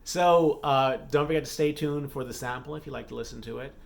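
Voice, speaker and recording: A man's voice: mid-range voice, brisk, creaky, a little hiss or hum. Man giving a casual, rambling vlog update. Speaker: YouTube vlogger and author.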